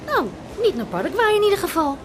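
A woman's voice: very high-pitched, sing-song, fast. An actress playing an impatient, dryly sarcastic woman with an informal manner, in a naturalistic radio drama. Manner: dry, nagging, informal. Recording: noisy airport and vehicle ambience.

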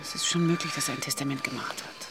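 An actress playing a guarded, composed wife in a radio play, with a restrained manner. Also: female voice, a bass voice, steady tone, fast talker, fairly bright tone, slight background noise.

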